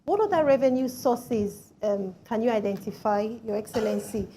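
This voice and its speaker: A woman's voice: on the high side, expressive, moderate pace, mellow, slight background noise, rough recording. A woman putting formal, polite questions as an interviewer, padding her phrases with "you know". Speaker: interviewer on a panel.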